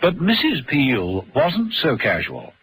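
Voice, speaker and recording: A man narrating a scripted radio thriller serial in a measured storytelling manner. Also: on the high side, wildly swooping pitch, a little hiss or hum, low-quality recording. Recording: radio serial with loud music behind. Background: music.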